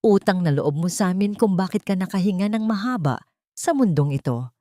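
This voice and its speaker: A woman's voice: mid-range voice, expressive, a little fast, warm tone, no room sound, studio quality, natural voice. A woman voicing a cruel, haughty rich daughter-in-law with sneering, contemptuous commands in a dramatic story. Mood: furious accusing.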